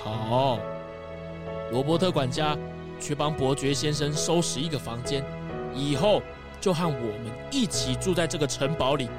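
A man's voice: a little high, normal speed, natural voice. An actor playing a stern tycoon father in a children's audio drama. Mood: relenting. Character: stern, indignant father, a tycoon who softens. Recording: loud music behind.

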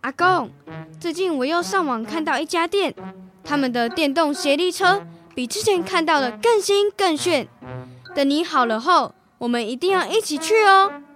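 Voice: very high voice, lively intonation, normal speed, a bit bright, faint music behind. A child performer playing a cheerful young boy devoted to his grandfather in a radio drama. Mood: eager, caring.

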